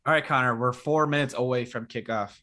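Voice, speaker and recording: Raspy-voiced man hosting a sports chat. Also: medium pitch, quick pace. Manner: relaxed, rambling, filler-heavy. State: raspy after illness. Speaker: podcast co-host.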